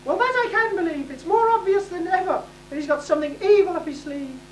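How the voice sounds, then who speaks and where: A woman's voice: very high voice, animated, fairly quick. A woman in an amateur stage pantomime playing a haughty, stubborn young princess in an imperious, exclaiming manner. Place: hall stage, distant microphone. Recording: echoey distant hall, faint noise.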